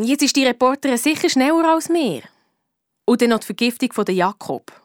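A woman's voice: on the high side, no echo, clean studio sound, close to an everyday voice. A woman voicing a young girl detective with a Swiss accent, eager and blunt, telling her story colloquially in a children's radio play.

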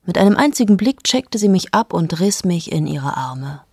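A restless journalist in her late thirties tells her story in a husky, breathy voice that sits low. She is intimate and confessional and often sinks to a whisper. The sound is dry and close, with no room around it. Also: very animated, moderate pace, a little mellow, no room sound, exaggerated voice. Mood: brooding. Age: 30s. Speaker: narrator of a radio play monologue. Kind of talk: radio drama monologue.

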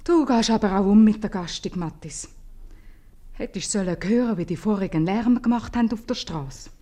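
A woman's voice: medium pitch, moderate pace, dark tone, natural voice. A woman playing a nagging, folksy innkeeper's wife with a Swiss German accent in a 1950s radio comedy. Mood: scolding, bitter. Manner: scolding, folksy. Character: nagging, plain-spoken innkeeper's wife, mother of a daughter.

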